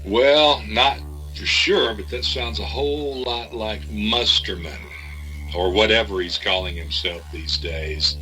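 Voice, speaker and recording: Man as a sharp-voiced cagey informant. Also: on the low side, slow pace, soft background music, poor audio. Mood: guarded. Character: cagey male insider informant, secretive, cautioning. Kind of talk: audio drama dialogue.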